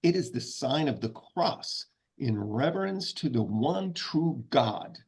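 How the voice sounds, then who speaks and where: Pompous churchy guy played by a man, really slow talker, husky, formal. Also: medium pitch, expressive, darkish tone, heavily acted. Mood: indignant, pompous. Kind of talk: comedy script table read.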